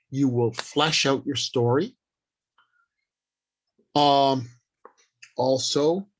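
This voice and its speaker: An older man presenting an informal instructional talk in a halting, deliberate, explanatory way. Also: on the high side, a bit bright. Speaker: workshop presenter.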